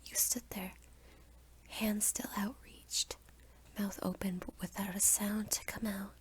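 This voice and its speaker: A young adult woman reading a scripted second-person story as ASMR in a soft, whispery voice. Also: mid-range voice, flat delivery, slow pace. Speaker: roleplay narrator.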